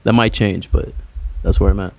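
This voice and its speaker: Young male musician from Puerto Rico, loose rambling interview talk, slightly low, dry. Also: fairly even intonation, moderate pace, creaky, darkish tone, dry recording, muffled recording. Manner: loose, rambling, heavy on "like" fillers. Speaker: musician guest. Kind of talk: casual interview chat.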